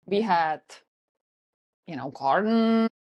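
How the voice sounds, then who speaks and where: A woman's voice: on the low side, animated, slow, highs cut off. A woman in her forties from the Czech Republic with a Czech accent, an earnest health coach telling her story in a casual podcast interview. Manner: earnest, storytelling, slightly non-native grammar.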